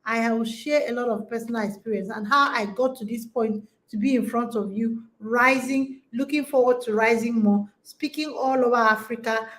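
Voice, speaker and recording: A woman's voice: fairly high pitch, normal speed, hardly any pauses, fairly bright tone. A woman in her forties hosting a conference and coaching women, talking emphatically and urging her listeners on. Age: 40s. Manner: emphatic and exhorting, with repeated rallying phrases. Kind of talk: motivational talk to an online audience.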